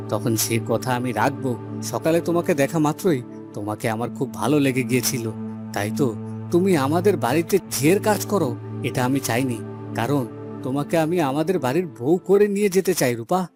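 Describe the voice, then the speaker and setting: A man voicing an earnest, resolute young son of a village headman, in melodramatic cartoon style with deliberate delivery. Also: mid-range voice, animated, natural voice. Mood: earnest, tender. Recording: background music under the voice.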